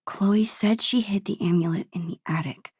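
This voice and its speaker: A woman voicing a shy, thoughtful young American teenage girl in a video game, in a soft, breathy, musing inner-monologue voice. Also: medium pitch, moderate pace, no room sound, studio quality, close to an everyday voice. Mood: pondering. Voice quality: breathy, hushed.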